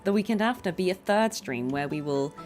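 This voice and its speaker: Female livestream host, lowish register, loose appreciative chatter over a clear music bed. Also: expressive, a little fast, a little mellow. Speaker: streamer and voice actress. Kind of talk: casual livestream chat.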